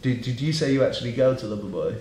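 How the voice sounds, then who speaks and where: A man's voice: mid-range voice, fast, darkish tone, reverberant, slight background noise. A man, a fashion journalist, hosting a panel discussion with rambling restarts. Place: dark studio around a table.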